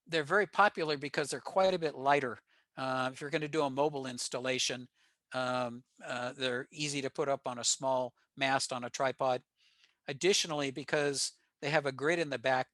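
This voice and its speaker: A man's voice: mid-range voice, sharp tone, no echo, studio quality. An American man giving an informal technical slide presentation to a club. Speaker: presenter at a ham radio club meeting.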